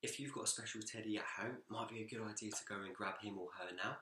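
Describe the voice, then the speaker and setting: A man reading a children's picture book aloud in an expressive, animated storytelling manner. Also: fairly low pitch, quick pace. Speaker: school story-time reader, teacher. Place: indoors, seated in a reading chair. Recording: echoey room.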